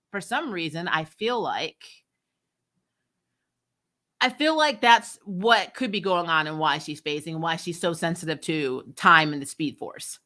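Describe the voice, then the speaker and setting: Woman, bright sharp voice, casual review host, opinionated, sarcastic, animated delivery. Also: fairly low pitch. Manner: animated, sarcastic mock-quoting, mild swearing. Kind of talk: casual, opinionated episode recap.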